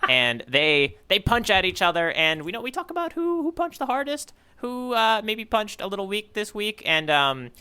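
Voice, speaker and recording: A man's voice: high voice, big pitch swings, normal speed, few pauses, pushed and bright, clear, resonant tone. A man co-hosting a podcast, chatting casually about manga in a rambling, joking way. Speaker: podcast co-host.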